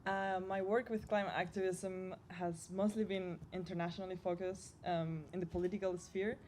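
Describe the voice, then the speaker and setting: A woman from Spain with a somewhat low voice speaks on a youth panel. She talks in a measured way and pauses with hesitant ums. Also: level tone, a little mellow, slight background noise. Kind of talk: panel introduction.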